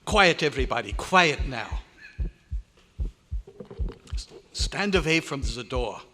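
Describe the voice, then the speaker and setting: Man acting an excitable German doctor, swooping voice. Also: medium pitch, slow, slight background noise. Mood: insistent. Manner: dramatic, emphatic, formal diction. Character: excitable German doctor, authoritative male research scientist. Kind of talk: old-time radio horror acting. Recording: live hotel meeting room, long echo.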